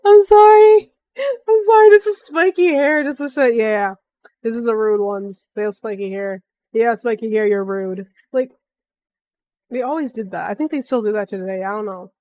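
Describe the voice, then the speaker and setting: A woman's voice: a little high, moderate pace, warm tone. A woman streamer reacting to a video in casual chat, blunt and informal with slangy swearing.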